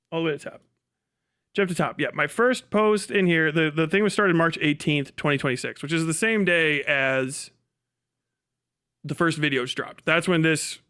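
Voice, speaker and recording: A man's voice: on the high side, bright, forceful tone. Sweary man muttering through a livestream. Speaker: livestream host reacting to a video. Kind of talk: casual livestream commentary.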